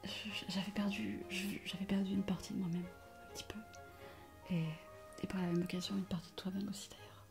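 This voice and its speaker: A woman's voice: medium pitch, level tone, fairly quick, vocal fry, natural voice. A woman playing a middle-aged doctor guarding an old secret from her ex, in hesitant whispers for an ASMR roleplay. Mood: pained, confessing. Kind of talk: French ASMR roleplay acting. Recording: music behind the voice.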